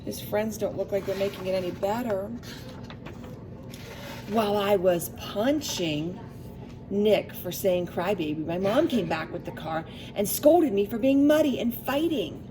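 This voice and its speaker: A woman's voice: medium pitch, expressive, measured pace, noisy background. A woman reading a picture book aloud to young children, expressively, with asides and questions to her listeners.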